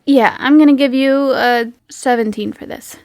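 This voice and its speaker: A woman's voice: high-pitched, steady tone, normal speed, darkish tone, no room sound. A woman game master narrating a tabletop roleplaying game in a casual, giggly, playful and conversational way.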